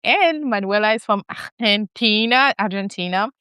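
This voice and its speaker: A woman in her thirties from Senegal co-hosts a podcast, talking in an animated, chatty way with plenty of fillers and restarts. Her voice is smooth and clear, recorded close and dry with no room sound. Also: mid-range voice, moderate pace, dry recording. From West Africa, Senegal. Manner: animated, lots of fillers and restarts, laughs often. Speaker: podcast co-host. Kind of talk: casual podcast conversation.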